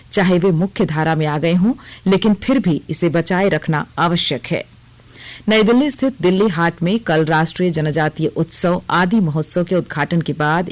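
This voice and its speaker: A woman's voice: lowish voice, a little mellow. A woman reading a scripted regional radio news bulletin as the newsreader.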